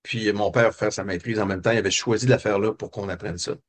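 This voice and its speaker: Un homme québécois, invité d'une entrevue, qui raconte son enfance d'un ton réfléchi, avec beaucoup d'hésitations. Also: male voice, on the low side, fairly even intonation, quick pace. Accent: Québécois French. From Quebec. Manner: reflective, many hesitations and fillers. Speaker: guest. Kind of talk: personal storytelling interview.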